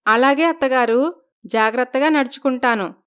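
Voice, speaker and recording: A woman's voice: high-pitched, normal speed, smooth. A woman voicing a meek, respectful young new bride in a storybook. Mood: polite. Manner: soft, respectful, obedient.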